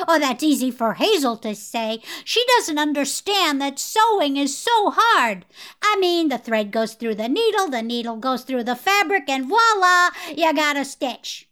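High-pitched, dithering fairy aunt. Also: female voice, a bit bright. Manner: dithering, muttering to herself. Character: flighty, giggly fairy aunt who sneaks magic. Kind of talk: fairytale audio drama acting.

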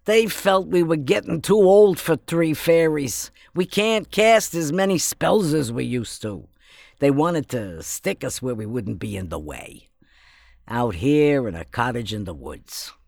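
An actress playing a bossy, blunt, tiny elderly fairy, folksy and wry, in a fairytale audio drama. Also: female voice, sits low, animated, measured pace, gravelly, darkish tone, no echo, clean studio sound, full character voice. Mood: frank. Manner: folksy, wry, plain-spoken.

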